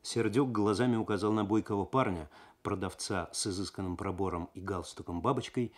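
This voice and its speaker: A man's voice: lowish voice, fairly quick. A man reading a detective story expressively, in the dramatic literary style of 1970s Soviet radio. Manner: expressive, actorly, suspenseful. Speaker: narrator, reader.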